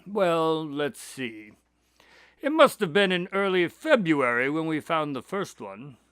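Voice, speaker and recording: An actor playing an earnest doctor and loyal detective's sidekick in an audio drama, speaking in a measured, articulate, conversational way. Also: male voice, fairly high pitch, big pitch swings, fairly bright tone, smooth, no echo, studio quality, heavily acted. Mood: recounting, grave. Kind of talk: audio drama dialogue and storytelling.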